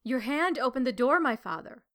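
A woman's voice: sits high, lively intonation, brisk, sharp tone. A woman voicing a lovelorn young weaving maiden of heaven in a storybook, plaintive and formal. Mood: defiant.

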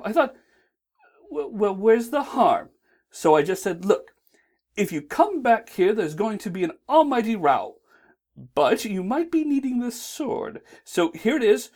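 A woman's voice: mid-range voice, a little mellow, pitched above the performer's own voice. A woman doing a fussy, stammering angel. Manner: flustered, stammering. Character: fussy, anxious male angel, well-meaning. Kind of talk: audiobook reading.

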